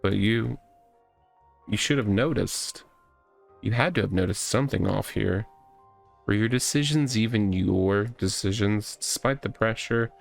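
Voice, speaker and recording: Man acting a halting, creaky, self-pitying oddball cop. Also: fairly low pitch, faint music behind. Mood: accusing, intense. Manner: halting, stammering, repetitive.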